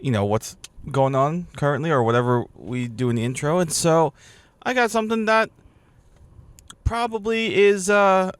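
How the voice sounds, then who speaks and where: A man's voice: a little high, moderate pace, smooth, dry recording. A man in his thirties co-hosting a casual podcast, playful and laughing, reading listener posts aloud. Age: 30s. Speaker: podcast co-host. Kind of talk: casual chat.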